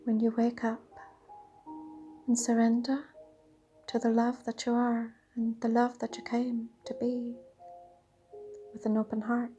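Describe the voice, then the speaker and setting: A woman leading a guided meditation in a dreamy, soothing, half-whispered voice with drawn-out pauses. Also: on the high side, completely flat delivery, muffled recording. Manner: breathy, half-whispered delivery. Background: soft sound bath music.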